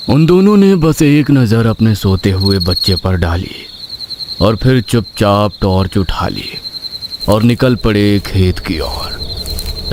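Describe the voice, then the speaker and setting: A man telling a scripted horror story in a dramatic, suspenseful manner with pauses for effect. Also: lowish voice, background noise.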